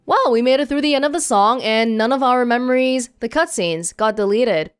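A woman's voice: a little high, normal speed, smooth. Casual woman doing game commentary over music. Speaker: let's play host.

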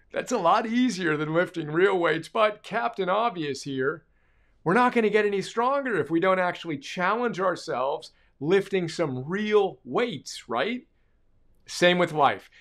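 A middle-aged man hosting his own show, reading a short excerpt from his book and then promoting it with upbeat energy. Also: high-pitched. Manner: upbeat, energetic, gesturing while talking. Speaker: author and host. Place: dark studio with portrait wall.

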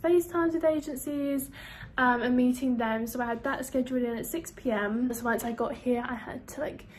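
A woman's voice: fairly high pitch, slight background noise. A woman in her twenties chatting casually to her phone camera in a hotel room, upbeat and full of fillers. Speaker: vlogger. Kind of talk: casual vlog chat to camera. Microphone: phone camera held close.

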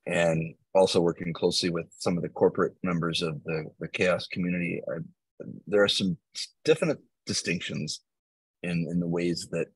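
A man with a very low, slightly husky voice hosts a video call from a small home room. He talks in a measured, thoughtful way, restarting phrases now and then. The laptop mic sounds dry and close. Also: fairly even intonation, no room sound, poor audio. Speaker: meeting host and project maintainer. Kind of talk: casual video call chat.

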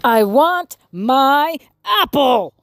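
A woman voicing a grumpy young male monster who loses his temper, with a shouty, sulky delivery. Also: extremely high pitch, lively intonation, very slow, no echo, clean studio sound, voice pushed up, exaggerated voice. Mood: angry.

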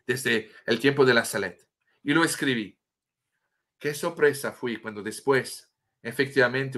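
A middle-aged man from France talks in Spanish with a clear French accent, his bright, forceful tone carrying over a webcam call from a room at home. He tells his story earnestly and often stops to restart a word he is hunting for. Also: medium pitch. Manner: earnest, restarts words while searching for Spanish terms. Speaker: author and guest. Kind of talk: impassioned storytelling on a video call. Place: home room with a bookshelf and statue. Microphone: webcam on a video call.

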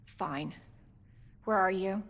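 A woman's voice: mid-range voice, takes it slowly, creaky. A young woman playing a determined, protective survivor in a video game, urgent and full of anxious questions. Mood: tense defiance. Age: young adult. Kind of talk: video game cutscene acting.

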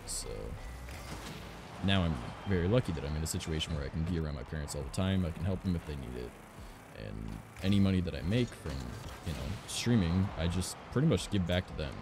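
Raspy young man, very low gravelly voice, rambling casual streamer chat, game music loud behind. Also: expressive, fairly quick. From Canada. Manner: rambling, frequent "like" and "um". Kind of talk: casual chat while gaming. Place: home recording studio.

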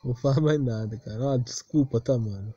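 A man's voice: mid-range voice, fast talker, dark tone, husky, lo-fi sound. A Brazilian man ranting casually and unscripted as a gaming video creator, defensive and exasperated, on a close, cheap-sounding mic. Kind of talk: casual unscripted rant over game footage.

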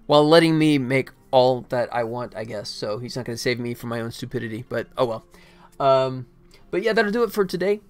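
A man's voice: medium pitch, clear, resonant tone. A middle-aged man chatting casually on a game developer livestream, rambling and jokey in a self-deprecating way, speaking into a desktop mic indoors. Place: indoor room at a computer. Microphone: desktop microphone.

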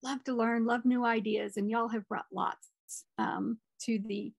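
A woman's voice: on the high side, steady tone, unhurried, gravelly, no echo. A middle-aged woman moderating a conference Q&A over a video call.

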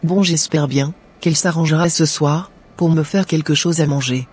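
A woman's voice: low-pitched, normal speed, darkish tone, breathy edge. A woman voicing a resentful, ungrateful young student daughter in a cartoon, complaining with scorn.

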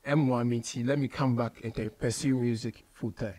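A man's voice: medium pitch, steady tone, moderate pace, warm tone. A man in his thirties with a Ghanaian accent, hosting a casual radio interview with short interjections and follow-up questions. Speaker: radio show host. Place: small radio studio, indoors.

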